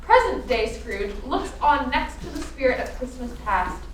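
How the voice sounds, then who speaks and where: A woman's voice: high-pitched, big pitch swings, moderate pace. A woman narrating a scripted radio play as the storyteller of a ghostly tale. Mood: suspenseful storytelling. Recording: echoey, distant stage recording, faint noise.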